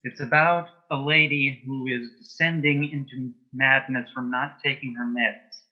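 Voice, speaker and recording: A man answering as an interview guest in a conversational way, with brief, deliberate replies. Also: medium pitch, steady tone. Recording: dull, lo-fi sound.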